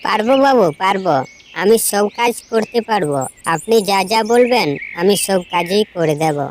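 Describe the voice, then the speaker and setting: A woman's voice: medium pitch, moderate pace, slight background noise, lightly acted. A woman voicing a kind, anxious young village boy in a cartoon, earnest and pleading. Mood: eager, pleading.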